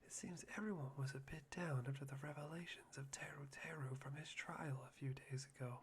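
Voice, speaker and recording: An actor as a young man, breathy whisper, self-deprecating, eerily hope-obsessed, ASMR roleplay, faint noise. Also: medium pitch, steady tone, fairly quick, natural voice. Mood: wistful, scheming. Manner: breathy whispering with sighs and eerie chuckles.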